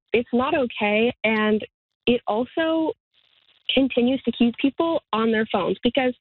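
A woman's voice: a little high, no echo. Twenty-ish woman calling in, earnest, stops and restarts a lot, phone-line sound. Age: 20s. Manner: earnest, halting with restarts, laughs lightly. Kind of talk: candid radio interview. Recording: tinny phone line, dry.

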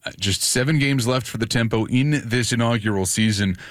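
A man giving a brisk, informative sports report on morning radio. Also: mid-range voice, fairly bright tone, dry recording, studio quality.

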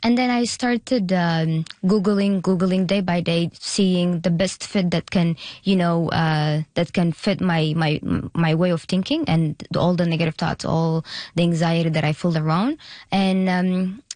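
A young woman from Eritrea, raised in Sudan, speaking as a guest in a radio studio interview, halting and often restarting her sentences. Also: on the low side, steady tone, darkish tone, no echo. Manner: halting, frequent restarts and hesitations. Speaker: guest, pageant contestant.

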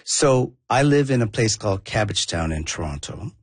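Husky low-voiced man from southern India. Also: expressive, moderate pace, dry recording. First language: Tamil. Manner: reflective, rambling with restarts. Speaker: documentary filmmaker guest. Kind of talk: conversational interview.

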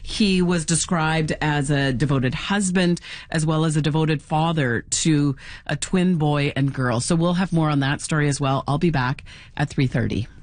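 A woman's voice: low-pitched, normal speed. A woman giving a live news report, chatty and full of fillers. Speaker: field reporter.

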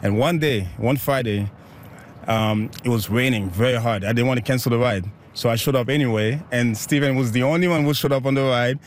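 Upbeat man from Haiti, faint traffic behind. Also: medium pitch. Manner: upbeat, laughs often, quick bursts. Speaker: bike ride organizer. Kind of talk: casual interview.

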